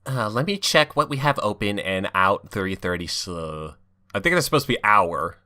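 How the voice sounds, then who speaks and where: A man's voice: on the low side, animated, moderate pace, pushed and bright, no echo, studio quality. A man co-hosting a podcast with offhand, wisecracking commentary in casual banter. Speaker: podcast co-host.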